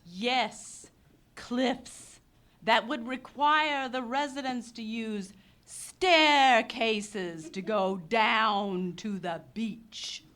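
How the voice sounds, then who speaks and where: A woman's voice: fairly high pitch, drawn-out words. An actress playing a spirited, wistful upper-class young woman in a live radio play, with refined theatrical phrasing. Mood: wry, insistent. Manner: expressive, theatrical, refined wording. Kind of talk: live old-time radio play acting.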